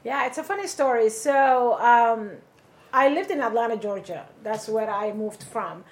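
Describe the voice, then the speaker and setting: A woman's voice: a little high, unhurried. Woman from Somalia telling her story. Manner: conversational storytelling, animated when quoting people. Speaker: interviewee. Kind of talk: oral history interview.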